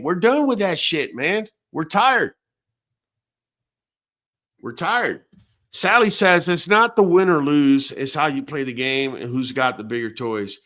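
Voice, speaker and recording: A man's voice: a little high, a bit bright. A man born in Venezuela, hosting a late-night talk show and giving blunt, profane opinions in a casual ranting way. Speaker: host.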